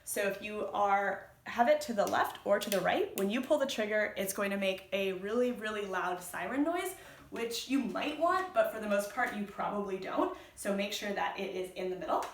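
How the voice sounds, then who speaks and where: A woman's voice: mid-range voice, expressive, normal speed. Echoey, distant woman giving informal instructions. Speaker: instructor, activist. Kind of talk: informal how-to demonstration, explaining step by step. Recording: roomy echo, distant mic, background noise.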